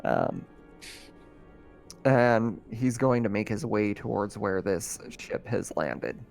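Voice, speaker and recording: A man acting as game master, improvising narration for a tabletop roleplaying game in a halting, conversational way. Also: fairly low pitch, very animated, vocal fry, darkish tone, faint music behind.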